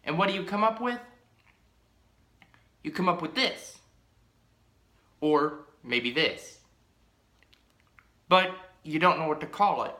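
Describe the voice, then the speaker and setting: A man's voice: fairly high pitch, bright, forceful tone, echoey room. A young man chatting casually about a pipe he shows to the camera, drawn out and full of ums, recorded in a small room at home. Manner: rambling, drawn-out, many ums. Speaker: pipe-collecting vlogger. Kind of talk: casual show-and-tell.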